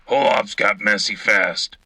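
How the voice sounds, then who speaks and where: Man playing a gravelly, gruff veteran soldier. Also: on the low side, measured pace, sharp tone, dry recording, full character voice. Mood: grim, weary. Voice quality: gravelly, raspy. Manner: terse, dry, sardonic. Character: gruff, cynical battle-hardened male soldier co-host. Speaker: radio co-host reporting from the front. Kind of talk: scripted sci-fi war radio drama.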